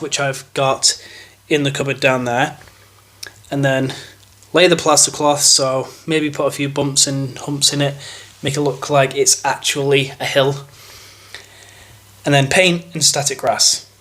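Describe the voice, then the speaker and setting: A man's voice: mid-range voice, a bit bright. A young man with a stuffy, bunged-up voice from a cold, walking through his model railway layout in a rambling, casual way. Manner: rambling, thinks aloud with fillers and restarts. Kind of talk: casual show-and-tell walkthrough.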